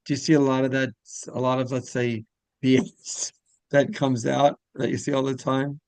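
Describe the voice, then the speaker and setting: A man's voice: mid-range voice, level tone, normal speed, vocal fry, a little mellow, no room sound, poor audio. A man hosting a casual interview in a rambling, repetitive way, often trailing off mid-thought. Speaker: interviewer and show host.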